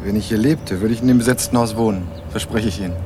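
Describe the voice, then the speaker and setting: A man's voice: lowish voice, fast talker, noisy background. A young man narrating a crime radio drama in first person, dry and ironic. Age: young adult. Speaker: narrator and main character.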